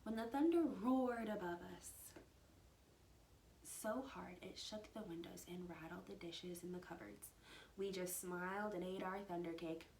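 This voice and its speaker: A woman reading a children's storybook aloud like a teacher, animated and dramatic, with hushed asides to her young listeners. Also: lowish voice, a little slow. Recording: roomy echo with faint background noise.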